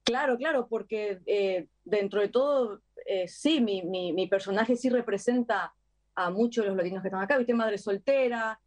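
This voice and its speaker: An actress with an Argentine accent chatting casually in an interview, full of fillers and lively retellings. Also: female voice, mid-range voice, moderate pace. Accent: Argentine Spanish. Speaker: actress guest.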